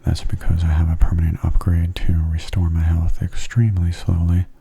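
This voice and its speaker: A man giving soft-spoken ASMR commentary on a game in a gentle, unhurried, casual way, his hushed voice often slipping into whispers. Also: low-pitched, monotone, creaky, slightly rough. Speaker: gameplay video host.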